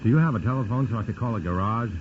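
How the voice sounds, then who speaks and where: A man's voice: mid-range voice, expressive, very fast, natural voice. A man in his thirties playing a polite, wary undercover agent who is courteous and firm. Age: 30s. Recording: tinny, hissy old radio broadcast.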